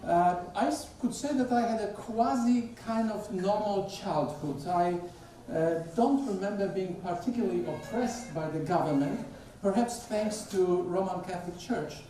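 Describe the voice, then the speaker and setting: A man's voice: high-pitched, a little slow, mellow, distant mic, a little hiss or hum. A middle-aged man from Poland with a Polish accent, giving an informal sermon as a guest speaker and chatting with the congregation. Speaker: guest speaker, theology professor. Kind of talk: conversational sermon. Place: church sanctuary. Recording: echoey church hall, picked up from afar.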